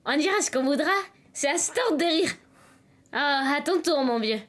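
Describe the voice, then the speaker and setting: Woman playing rowdy high-voiced cartoon tow truck. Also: lively intonation, moderate pace, background noise. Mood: teasing. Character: rowdy, mischievous old tow truck pal.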